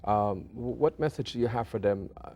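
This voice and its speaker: A man hosting an interview show in a conversational way, phrasing his questions haltingly with many small acknowledgements. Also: mid-range voice, dark tone, dull audio. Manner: hesitant phrasing, frequent backchannels. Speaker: talk show host.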